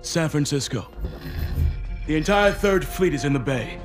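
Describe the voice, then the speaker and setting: A middle-aged commander, terse and authoritative, slightly high in pitch. Music plays clearly behind him in a military game. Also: male voice, animated, unhurried. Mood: terse, grave.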